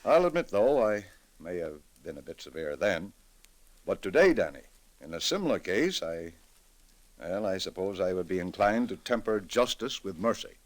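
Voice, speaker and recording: An actor playing a grave, reflective middle-aged judge in an old-time radio drama, speaking in a measured, deliberate, dramatic way. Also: male voice, sits low, big pitch swings. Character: grave, reflective male judge with a troubled conscience.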